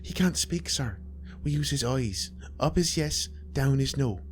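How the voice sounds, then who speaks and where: A man's voice: medium pitch, lively intonation, a little slow, faint music behind, pitched above the performer's own voice, natural voice. A man voicing a cruel, proud nurse who fawns eagerly over her superior, adding "sir" to her replies. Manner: eager, deferential, says "sir" often. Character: stern nurse woman, cruel, proud and fawning.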